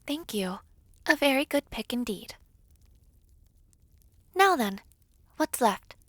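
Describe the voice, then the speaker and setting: A woman playing a gentle, frail young woman who is eager to help, in an audio roleplay drama. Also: on the high side, very animated, slow pace, no echo, studio quality, exaggerated voice. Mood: gentle, helpful.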